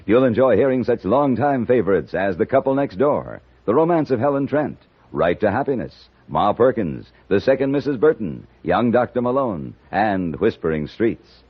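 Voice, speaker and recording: Male American 1950s network announcer, polished and folksy, deep and lively, muffled broadcast sound. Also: normal speed, hardly any pauses, slightly rough. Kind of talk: 1950s radio promotional announcing, scripted. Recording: muffled old radio broadcast recording.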